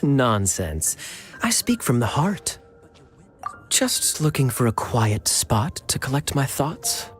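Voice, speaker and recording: An actor playing a suave, self-assured knight captain in a fantasy game, smooth-talking and wry. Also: male voice, mid-range voice, big pitch swings, takes it slowly, soft background music. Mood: wry, playful.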